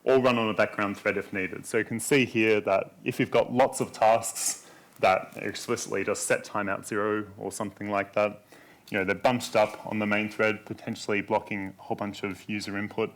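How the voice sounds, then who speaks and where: Male tech speaker with a lowish voice, calmly walking an audience through demos. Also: moderate pace. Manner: explanatory, steady walkthrough of code and demos. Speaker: conference speaker. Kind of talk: technical conference talk walking through demos.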